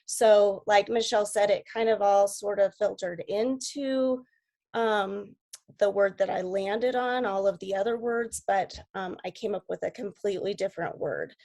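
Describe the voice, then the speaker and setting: A woman with a slightly raspy voice from a cold, talking casually and earnestly as a coach on a video call. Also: medium pitch, fairly even intonation, moderate pace, mellow. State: sick with a cold. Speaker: guest coach.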